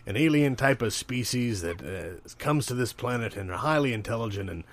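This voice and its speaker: A man improvising as a comedy interviewer, with a halting, mock-serious way of talking. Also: medium pitch, lively intonation.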